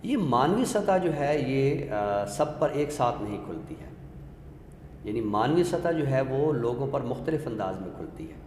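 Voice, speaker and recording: A man explains literature as a talk show guest, measured and scholarly, often posing rhetorical questions. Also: medium pitch, big pitch swings, darkish tone. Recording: echoey hall-like room with faint noise.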